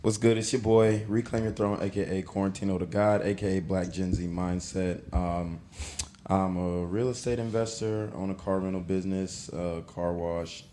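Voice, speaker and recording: A man's voice: low voice, flat delivery, normal speed, mellow, a little hiss or hum. A man in his late twenties introducing himself casually on a panel. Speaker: podcast panelist.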